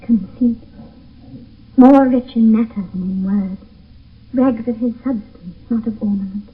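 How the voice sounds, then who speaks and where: A woman's voice: fairly high pitch, measured pace, breathy edge. A woman playing an eager, lovestruck teenage girl in a Shakespeare radio play, urgent and lyrical. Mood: ardent. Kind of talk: 1970s radio Shakespeare acting. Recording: muffled phone-like vintage radio broadcast, distant.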